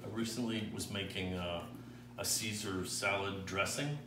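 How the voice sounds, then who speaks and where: A man's voice: deep voice, fairly even intonation, moderate pace, background noise. A middle-aged man as a guest in a cooking show, asking eager questions while he chops vegetables in a large home kitchen. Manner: curious, asks many questions, eager. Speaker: guest cook. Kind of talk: casual cooking demonstration. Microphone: far camera mic. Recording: echoey kitchen from far off, clattering dishes.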